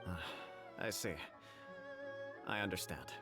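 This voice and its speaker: A man voicing a courteous, diplomatic official of a shogunate in a video game, formal and deliberate. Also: low-pitched, over loud music. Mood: polite, conceding. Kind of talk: scripted video game acting.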